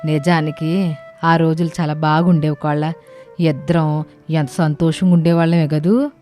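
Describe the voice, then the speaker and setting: A woman voicing an affectionate older mother-in-law in an animated village story, speaking in rustic, colloquial phrases over music. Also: low voice, normal speed, lightly acted. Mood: fond, nostalgic. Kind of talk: acted dialogue in animated comedy story. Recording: music under the voice.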